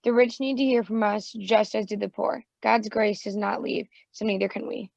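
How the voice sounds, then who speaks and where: Gravelly woman reading a testimony. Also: mid-range voice, fairly even intonation, moderate pace, darkish tone, dry recording, highs cut off. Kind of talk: reading a martyr's testimony aloud.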